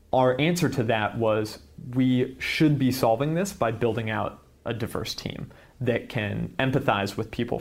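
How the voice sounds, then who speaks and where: A man's voice: medium pitch, gravelly. A man in his mid-twenties from California, a startup founder talking casually in an interview. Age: 20s.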